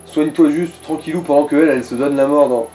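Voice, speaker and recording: A man's voice: on the high side, steady tone, fast talker, dark tone, soft background music. A man giving informal, sweary gaming commentary in speech, coaching the player along. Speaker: let's play co-commentator.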